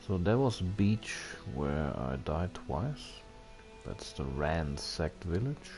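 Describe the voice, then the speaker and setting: A man doing casual gameplay commentary, halting as he thinks aloud and reads on-screen text. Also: deep voice, big pitch swings. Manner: halting, musing, puzzled asides. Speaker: gaming video host. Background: game music.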